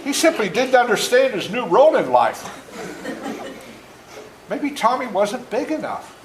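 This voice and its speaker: An older man reads his own story aloud to children in an animated, folksy way, stopping for asides to explain things. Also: extremely high pitch, measured pace. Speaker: author reading his own book to schoolchildren. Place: indoor room at a school. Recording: echoey room with faint background noise.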